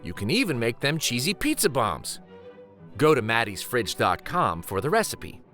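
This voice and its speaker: A man reading a children's picture book aloud in an expressive, deliberate, emphatic storytime style. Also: mid-range voice, faint music behind. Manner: deliberate, animated, emphatic phrasing.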